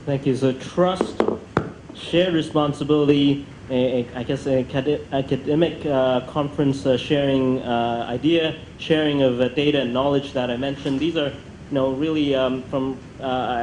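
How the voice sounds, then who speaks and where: A man's voice: medium pitch, fairly even intonation, normal speed, a little mellow. Room echo surrounds a man moderating a conference discussion. His delivery is hesitant and rambling with repeated words, over faint background noise. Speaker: panel moderator. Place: multi-purpose function room at a conference.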